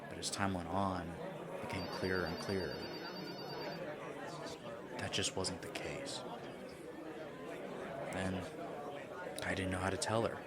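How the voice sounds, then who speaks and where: A man performing an audio roleplay monologue, earnest and reflective, sometimes dropping to a hushed whisper. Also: deep voice, fairly even intonation, slow pace, pauses often, over a noisy crowd.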